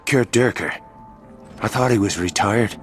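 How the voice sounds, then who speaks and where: An actor playing a gruff, sardonic Irish racing mechanic with an Irish accent and slangy, rough-edged speech in a video game. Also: male voice, fairly low pitch, measured pace, faint music behind, lightly acted. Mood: cheerful. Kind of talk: dramatic video game acting.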